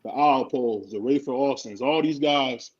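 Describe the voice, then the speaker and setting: A man's voice: medium pitch, measured pace. A man co-hosting a boxing talk podcast, arguing and joking in casual chat. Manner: joking, argumentative, laughs often. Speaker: podcast co-host. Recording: dull lo-fi sound.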